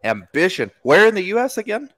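A man hosting a podcast interview, talking in an energetic, informal way. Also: high-pitched, a little fast, dry recording. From United States. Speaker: podcast host. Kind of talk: casual interview chat.

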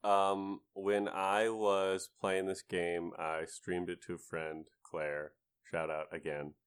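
A man with a low voice chats as a panelist on a video game podcast. He speaks casually and a little haltingly, slipping in wry jokes. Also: flat delivery, clean tone.

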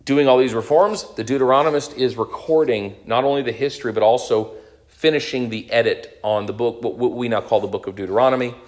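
A man in his thirties teaches a Bible lesson off the cuff. His voice sits at a middle pitch, and he works through points aloud, pausing on fillers and restarting phrases. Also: a little mellow. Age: 30s. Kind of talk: unscripted lecture on scripture.

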